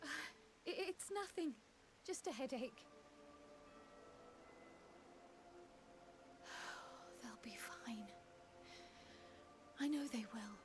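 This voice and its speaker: High-voiced, gentle, brave young woman. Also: lively intonation, slow. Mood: pained, hopeful. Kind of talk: video game cutscene voice acting.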